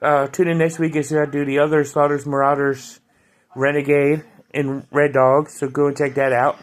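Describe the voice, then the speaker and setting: Chatty man reviewing a toy, fairly high voice. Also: little pitch movement, moderate pace. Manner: chatty, loose, with frequent restarts and fillers. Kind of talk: casual video review.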